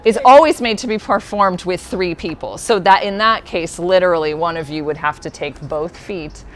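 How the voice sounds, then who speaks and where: A woman with a fairly low voice, a puppeteer guest giving casual, friendly explanations with many fillers. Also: moderate pace, a little hiss or hum.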